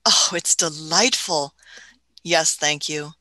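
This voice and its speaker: A woman's voice: low-pitched, takes it slowly, bright, forceful tone, dry recording, heavily acted. A woman playing an elderly, curious, imperious time-travelling scientist in a radio play, hemming and musing as she goes. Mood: pleased. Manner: hemming, musing, punctuating with "hmm" and chuckles.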